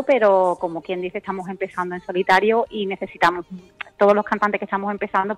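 A woman singer with an Andalusian accent, chatting as a guest on a radio interview, pausing often with fillers and restarts. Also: medium pitch. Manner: hesitant, many fillers and restarts. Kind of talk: casual phone-in interview chat.

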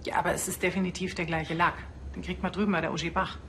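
An actress playing a brisk forensic pathologist in a TV crime comedy. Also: female voice, lowish voice, flat delivery, noisy background, lightly acted. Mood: matter-of-fact.

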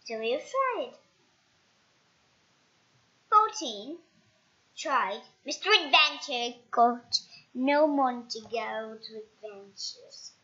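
A young child reading a picture book aloud in a halting, improvised way with stumbles. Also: mid-range voice, expressive, pushed and bright, muffled recording. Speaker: storybook reader. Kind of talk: read-aloud children's storytime.

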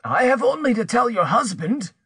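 A man's voice: very high-pitched, big pitch swings, normal speed, vocal fry, a bit bright, slightly rough, no room sound, studio quality, voice pushed up, full character voice. A man voicing a menacing, vengeful older uncle who blackmails and accuses vehemently in an audiobook story.

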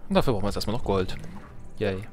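A man with a fairly low voice plays a game and comments casually as he goes. He mutters to himself with playful sarcasm. Faint music runs behind his voice. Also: racing, darkish tone. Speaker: let's player.

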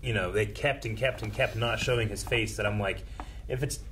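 A man in his thirties, the host of a movie reaction show, chatting casually in a studio and often breaking into excited laughter. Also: fairly low pitch, a little fast, slight background noise. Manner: animated, laughing, restarts often. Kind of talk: casual chat. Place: studio room with shelves and swords.

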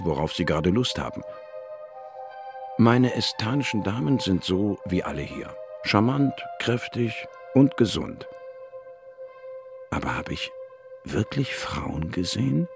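An actor playing a confident, worldly biologist in a science fiction radio drama, dry and explanatory. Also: male voice, lowish voice, lively intonation, a little slow, vocal fry. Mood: reflective narration. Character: confident, worldly male biologist and space investigator. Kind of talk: radio drama dialogue and first-person narration. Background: music.

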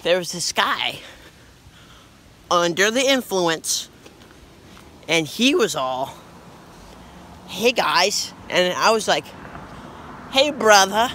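A man's voice: high voice, very animated, slow pace, long pauses, sharp tone, slight background noise. Goofy teen boy rambling outdoors. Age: teens. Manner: joking, rambling, playful. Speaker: vlogger. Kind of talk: goofy walking vlog. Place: outdoors on a quiet street. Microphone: phone at arm's length.

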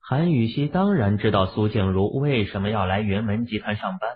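A man's voice: lowish voice, lively intonation, normal speed, breathy edge. A man narrating a novel as a scripted dramatic audiobook. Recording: muffled, phone-like, lo-fi audio.

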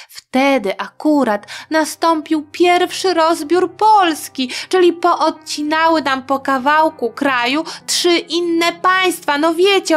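A woman hosting a history podcast, telling stories in an informal, chatty way for young listeners. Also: high voice.

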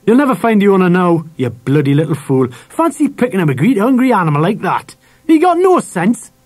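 A man voicing an angry, scornful working-class father in a storybook, gruff and scolding with colloquial swearing. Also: a little high, expressive, brisk, darkish tone, no echo, pitched above the performer's own voice.

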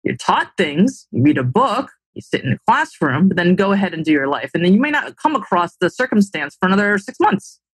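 A woman's voice: lowish voice, big pitch swings, a little fast, hardly any pauses, husky, no room sound, low-quality recording. A woman in her thirties with a New Jersey accent, a guest on a video-call interview, explaining confidently and tagging her points with questions. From New Jersey, USA. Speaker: podcast guest, tech founder. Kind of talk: casual interview chat. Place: indoor room at home.

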